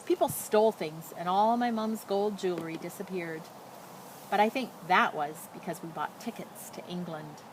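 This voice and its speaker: A woman's voice: fairly low pitch, normal speed, lightly acted. A woman voicing a sad young refugee schoolboy, telling things plainly and earnestly in a storybook. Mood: sombre recounting. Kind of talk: picture-book read-aloud. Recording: noticeable background noise.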